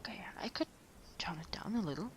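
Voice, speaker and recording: A woman playing a caring partner who is writing late at night, speaking in a gentle, hesitant, breathy half-whisper for an intimate sleep-aid audio roleplay. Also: medium pitch, lively intonation, slight background noise, lightly acted. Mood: gentle, reassuring. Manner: gentle, hesitant, unhurried murmuring.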